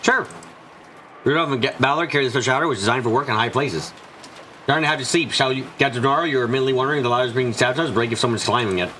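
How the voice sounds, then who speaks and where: A man's voice: mid-range voice, racing, bright, forceful tone, a little hiss or hum, low-quality recording. A man reading a visual novel aloud as a live fan dub on a stream. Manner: switches between character voices while reading. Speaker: let's play streamer.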